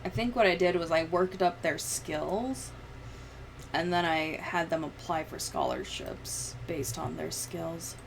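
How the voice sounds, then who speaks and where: A young woman streamer talks casually in a fairly low, gravelly voice. She rambles in a loose, sweary way close to the mic. There is clear ambient noise behind her. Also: sing-song, unhurried. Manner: rambling, profane. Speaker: livestreamer. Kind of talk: casual livestream chat while editing.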